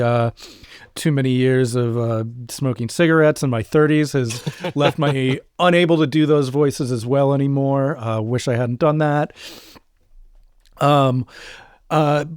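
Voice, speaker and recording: Middle aged guy chatting on a podcast, crisp smooth voice, dry close sound. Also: mid-range voice, a little mellow, no room sound. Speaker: co-host, audio drama producer and voice actor. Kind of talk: casual podcast chat.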